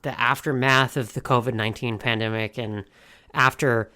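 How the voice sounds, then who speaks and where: A podcast co-host with a medium-pitched voice takes part in a casual fan discussion. The delivery is conversational and unscripted. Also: steady tone, normal speed, bright, forceful tone.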